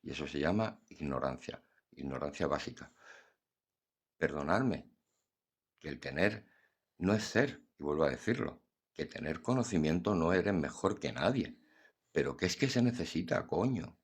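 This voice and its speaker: A man's voice: rumbling low, animated, normal speed, pauses often, slightly rough. An older man, talking bluntly and earnestly about acceptance in a casual philosophical monologue. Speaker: podcast host.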